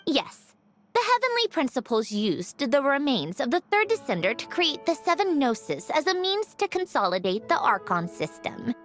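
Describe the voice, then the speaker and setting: Dry, analytical young automaton. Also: female voice, sits high, very animated, fairly bright tone. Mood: explaining. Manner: measured, explanatory, slightly sardonic. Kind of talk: video game voice acting. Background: game soundtrack music.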